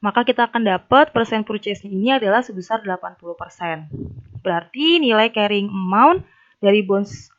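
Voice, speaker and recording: A woman's voice: medium pitch, lively intonation, brisk. A young adult woman giving methodical, step-by-step instructional narration as a tutor. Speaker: accounting tutor. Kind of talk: instructional narration of a worked problem. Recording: dull, lo-fi voice recording.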